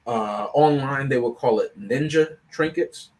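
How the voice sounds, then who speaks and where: A man's voice: mid-range voice, a little slow, darkish tone, slight background noise. Discursive man talking through a film review. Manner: discursive, often checks with "right?". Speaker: panelist on a film review show. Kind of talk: casual analytical discussion.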